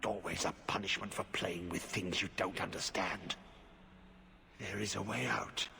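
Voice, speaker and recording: An actor playing a tormented, half-mad corrupted warden in a fantasy video game, speaking in erratic, halting fragments. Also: male voice, sits low, fairly even intonation, gravelly, sharp tone, full character voice. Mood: muttering, confused. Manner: halting, fragmented, muttering then crying out. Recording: long hall reverb, background ambience noise.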